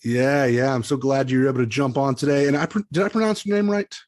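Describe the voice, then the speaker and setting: A man's voice: mid-range voice, fast talker, a little mellow. A man hosting a music show, speaking in an upbeat, promotional way as he introduces and interviews guests. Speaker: podcast host and interviewer. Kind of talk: enthusiastic scripted show intro and casual interview chat.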